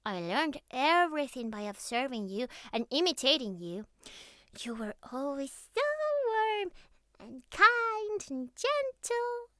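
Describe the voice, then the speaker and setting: A woman performs an affectionate young catgirl in an intimate ASMR roleplay. Her voice is high and bright, recorded very dry and close. She talks softly and cutely to her best friend, teasing and clingy, with breathy sighs and murmurs. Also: no echo, heavily acted. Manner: soft, cutesy, breathy sighs and murmurs, slow. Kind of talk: intimate roleplay ASMR, scripted monologue to the listener.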